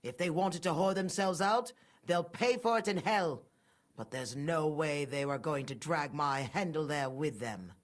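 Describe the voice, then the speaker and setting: A woman's voice: sits low, measured pace. An actress playing a middle-aged, sanctimonious and scornful mother, a pious murderess without remorse, in a dramatic video game scene. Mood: righteous scorn. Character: pious, murderous mother, haughty, fanatical and unrepentant.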